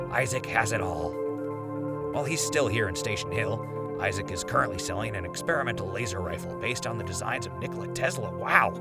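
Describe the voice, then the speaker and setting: Low-voiced, chatty wasteland radio host played by a man. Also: close to an everyday voice. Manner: chatty, folksy, wry. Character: chatty, folksy male post-apocalyptic radio host. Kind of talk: in-character sponsor reads, conversational radio patter. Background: loud music.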